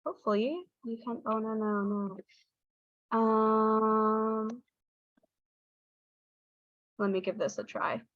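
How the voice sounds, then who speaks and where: A woman's voice: fairly high pitch, steady tone, takes it slowly, pauses often, no echo. A young woman with a Southern US accent gives an online workshop talk over a video call, chatty and apologetic with frequent ums. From Southern United States. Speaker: guest speaker, English teaching assistant. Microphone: video call on phone or computer. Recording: dull, dry video call audio.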